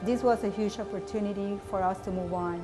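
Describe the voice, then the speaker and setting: A Puerto Rican woman whose first language is Spanish answers interview questions earnestly, with a few fillers and pauses. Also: medium pitch, steady tone. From Puerto Rico. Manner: earnest, determined, halting with fillers. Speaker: interviewee, hospital staff member. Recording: music bed underneath.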